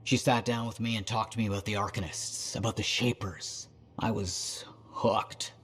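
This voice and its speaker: A man playing an eager, bookish inventor in a video game, with an expressive and enthusiastic delivery. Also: fairly low pitch, moderate pace, husky. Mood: fond. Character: eager scholarly male inventor, former street-kid prodigy. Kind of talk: video game dialogue acting.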